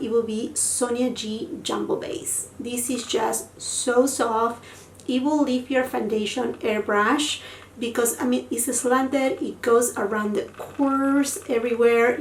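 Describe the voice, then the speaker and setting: Chatty woman, roomy sound. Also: on the high side. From Peru. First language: Spanish. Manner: chatty and effusive, many fillers like "you know". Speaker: beauty vlogger. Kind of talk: casual makeup tutorial chat. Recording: roomy sound, mic at a distance, faint noise.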